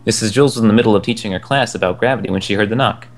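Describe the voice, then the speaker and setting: A young man reading a children's book aloud in a relaxed way, chuckling now and then at the text. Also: on the low side, very fast. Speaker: storyteller. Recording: soft game music behind the voice.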